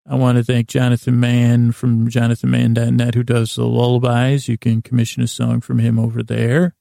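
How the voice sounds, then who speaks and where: A man hosting a podcast in a rambling, unscripted monologue, hesitant and halting, with a gravelly, creaky voice. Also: medium pitch, completely flat delivery, dark tone, no echo, studio quality. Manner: hesitant, halting, meandering with false starts. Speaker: podcast host.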